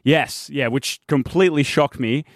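A man's voice: mid-range voice, normal speed, no room sound, clean studio sound. A man, a guest journalist chatting on a podcast, often repeating words and laughing between points. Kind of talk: casual podcast chat.

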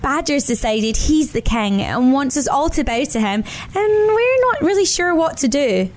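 A woman's voice: high-pitched, big pitch swings, moderate pace, pitched above the performer's own voice. A woman voicing a small, nervous female rabbit who speaks hesitantly and pleadingly.

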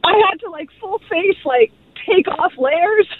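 A woman's voice: extremely high pitch, expressive, a little slow, a loud crowd. A woman calling in to a radio show, telling a self-mocking funny story with giggles. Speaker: caller. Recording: tinny phone line.

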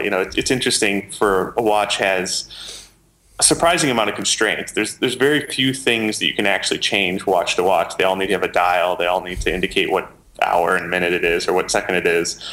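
Low, bright-voiced man explaining. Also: poor audio. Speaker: interview guest, software maker. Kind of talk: conversational explanation.

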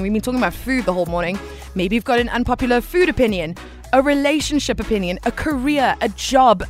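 Lady radio host, friendly and chatty, calls everyone baby. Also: fairly high pitch. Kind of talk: lively radio call-in hosting.

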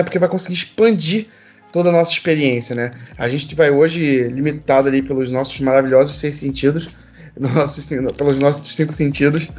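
A man's voice: fairly high pitch, a little mellow. A man with a Brazilian accent speaking casually in a panel discussion, thinking aloud in a discursive way and explaining through everyday examples. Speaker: panel participant.

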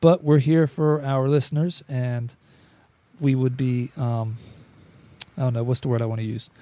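A man's voice: medium pitch, steady tone, normal speed, mellow, dry recording. A man hosting a sports podcast, chatting casually and rambling a little. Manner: loose, rambling with fillers. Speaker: podcast host. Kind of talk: casual chat.

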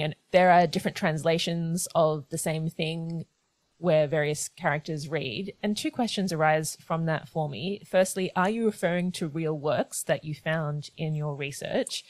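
A woman hosting a book interview over a video call, asking thoughtful, measured questions. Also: low voice, no room sound. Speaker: interviewer, host.

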